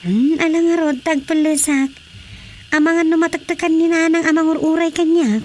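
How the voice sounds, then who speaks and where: A woman's voice: very high voice, fairly even intonation, normal speed. A woman playing a caring grown daughter at home in a radio drama, with faint noise behind her. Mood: brisk, domestic.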